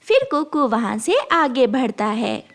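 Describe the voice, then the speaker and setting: A woman's voice: a little high, sing-song, a little slow. A woman reading scripted narration for a children's cartoon story.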